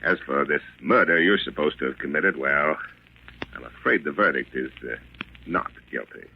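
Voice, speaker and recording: A man playing a dismissive, reassuring veteran police lieutenant in a 1940s radio drama, gruff and unhurried. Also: rumbling low, noisy background. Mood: dismissive reassurance. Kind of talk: 1940s radio mystery acting. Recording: muffled old 1940s radio recording.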